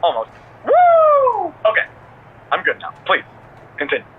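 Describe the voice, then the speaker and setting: A man's voice: extremely high pitch, wildly swooping pitch, a little slow, narrow, tinny audio, exaggerated voice. An actor playing a goofy, boastful male podcast co-host in a video game, joking and teasing, with band-limited sound. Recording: thin band-limited sound, faint noise.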